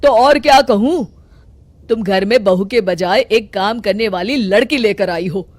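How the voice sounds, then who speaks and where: A woman's voice: mid-range voice, measured pace, a little mellow, no room sound, lightly acted. A woman voicing a frank, self-satisfied older father-in-law with a blunt, needling manner in a cartoon story. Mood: blunt, smug.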